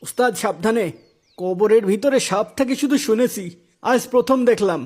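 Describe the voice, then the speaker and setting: A man's voice: high-pitched, moderate pace, a little mellow. A man playing an anxious, pious village man in a moral cartoon story, given to worried exclamations. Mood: cautious.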